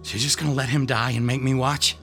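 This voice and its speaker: A man's voice: mid-range voice, steady tone, fast, a bit bright, soft background music, natural voice. A man playing a defiant, angry detective held captive in a radio drama.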